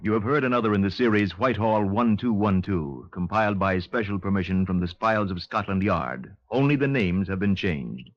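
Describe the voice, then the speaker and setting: A man reading scripted 1950s radio announcements in a formal, measured way. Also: low voice, no room sound, soft background music. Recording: dull, dry 1950s radio recording.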